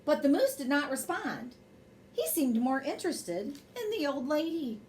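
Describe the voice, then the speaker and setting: Woman, storyteller, higher-pitched voice, very animated intonation, expressive children's reading. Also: normal speed, a little hiss or hum. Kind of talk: bedtime story read aloud.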